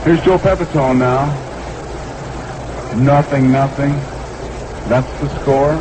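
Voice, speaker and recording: Male baseball announcer, 1960s radio style, mid-pitched, clipped delivery, clearly noisy archival broadcast sound. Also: takes it slowly. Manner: short clipped phrases, repeats the count. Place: ballpark broadcast booth.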